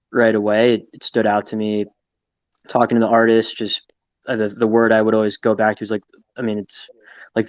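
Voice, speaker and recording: Flat, lowish-pitched man, hesitant. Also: no echo. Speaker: audience speaker. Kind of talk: casual unscripted comment.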